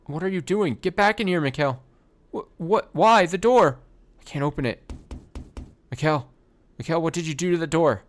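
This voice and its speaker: A man's voice: a little high, normal speed, pauses often, pitched above the performer's own voice, lightly acted. A man voicing a desperate young woman who pleads frantically. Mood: frantic.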